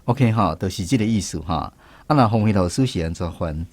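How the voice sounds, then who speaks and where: Male radio host for a language lesson: low voice, lively intonation, Taiwanese accent. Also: brisk, dry recording.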